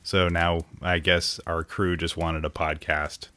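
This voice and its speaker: A man co-hosting an improvised comedy podcast, talking in a hesitant, halting, deadpan way. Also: sits low, clear, resonant tone. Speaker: podcast co-host.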